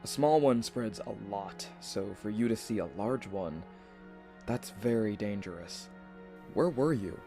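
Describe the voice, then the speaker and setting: An actor playing an earnest, modest young alchemist in an audio drama, explaining things politely and matter-of-factly. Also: male voice, lowish voice, expressive, a little slow, dark tone, soft background music. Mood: concerned, explaining.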